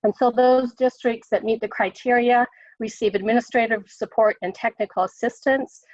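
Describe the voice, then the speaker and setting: A woman official with an American accent, hoarse from a cold, briefing on a conference call, halting with many ums and restarts. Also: on the high side, steady tone, a little mellow, no room sound. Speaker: state education official. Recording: dry muffled call audio.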